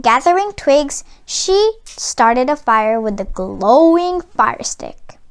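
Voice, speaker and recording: Female storybook narrator, high and animated in intonation, with an unhurried read-aloud pace for children. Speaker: storybook reader.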